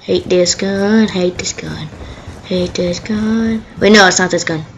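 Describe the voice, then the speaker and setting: A woman with a sniffly cold, casually commenting on her own game as she plays, chatty and quick to cry out in fights. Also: lowish voice, lo-fi sound. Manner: excitable, chatty, cries out during fights. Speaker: gameplay commentator. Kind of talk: casual live gameplay commentary. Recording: faint gunfire and game noise behind.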